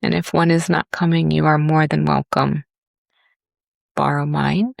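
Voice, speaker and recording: A woman leads a guided body scan with a low voice that has a creaky edge. She speaks gently and leaves long pauses between phrases. The sound is close and dry. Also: little pitch movement, no room sound. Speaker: yoga and meditation teacher.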